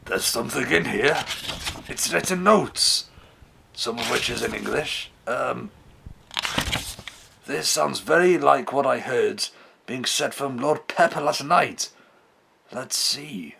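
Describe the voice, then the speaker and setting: Radio drama captive father, played by a man: pious, earnest, very gravelly, slow swinging delivery, faint noise. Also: mid-range voice, expressive, full character voice. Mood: anxious, searching. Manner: earnest, melodramatic, horrified exclamations. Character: devout, anguished father held captive, righteous and determined. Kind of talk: old-time radio play acting.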